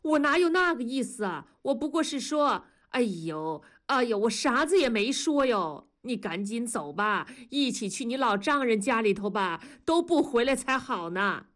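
A woman's voice: a little high, very animated, moderate pace, few pauses, fairly bright tone, voice pushed up. A woman voicing an old grandmother from Chongqing who speaks with a Sichuan accent and grumbles and nags. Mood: aggrieved. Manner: grumbling, complaining.

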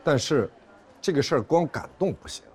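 An actor playing a harried court officer in a screen drama, placating and evasive, with dry exasperation and repeated words. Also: male voice, on the high side, expressive, fairly quick, darkish tone, slightly rough, faint music behind. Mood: cautious. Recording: noticeable background noise and ambience.

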